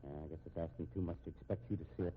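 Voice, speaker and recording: An actor playing an anxious, respectable American husband in a 1950s radio drama, speaking in a measured, pointed way. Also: male voice, deep voice, fairly even intonation, husky. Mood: bitter, pointed. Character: respectable, anxious, shrewd husband held hostage at home. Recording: muffled, tinny old radio recording.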